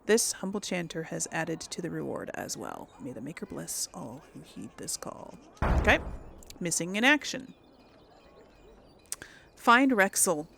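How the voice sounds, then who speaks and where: A woman's voice: fairly low pitch, very animated, a little slow, vocal fry, long pauses, fairly bright tone, slight background noise. A woman doing casual let's play commentary, informal and thinking aloud as she goes. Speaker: game streamer. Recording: faint game sounds behind voice. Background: video game ambience.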